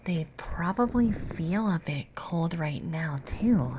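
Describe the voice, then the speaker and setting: A woman doing an ASMR roleplay, mixing soft speech with whispers in an intimate, gentle, teasing way straight to the listener, close to the microphone. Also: on the low side, lively intonation, takes it slowly, noisy background.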